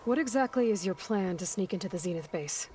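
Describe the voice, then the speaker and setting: A woman's voice: mid-range voice, animated, brisk, over music, natural voice. An actress playing a guarded, skeptical young warrior woman in a video game, blunt and questioning in her delivery. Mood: skeptical, probing. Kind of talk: naturalistic video game cutscene acting.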